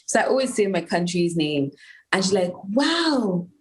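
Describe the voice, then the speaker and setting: Wry Ugandan woman, unhurried. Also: medium pitch, very animated. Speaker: panel guest. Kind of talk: casual panel chat.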